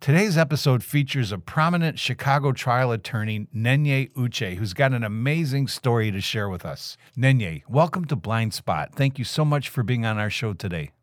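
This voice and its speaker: A man hosting a podcast, introducing his guest in a measured way and stressing key words. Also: medium pitch, lively intonation, no echo, studio quality. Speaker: podcast host. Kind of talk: interview introduction.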